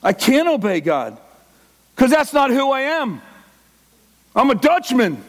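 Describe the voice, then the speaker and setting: A man's voice: extremely high pitch, very animated, unhurried. A middle-aged man preaching a sermon with passion, acting out the inner voice of a struggling believer. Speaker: pastor.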